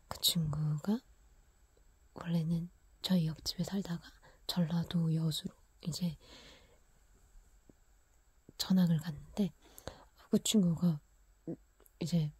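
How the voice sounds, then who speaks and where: A woman whispering a personal story into a close microphone, laughing quietly now and then. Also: fairly low pitch, breathy edge.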